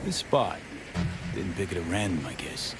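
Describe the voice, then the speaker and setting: A man's voice: low voice, sing-song, moderate pace, music in the background. A man playing a guarded, street-smart mercenary in a video game, terse and blunt, with casual slangy speech. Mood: guarded, curious. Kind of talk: cinematic game acting, casual slangy dialogue.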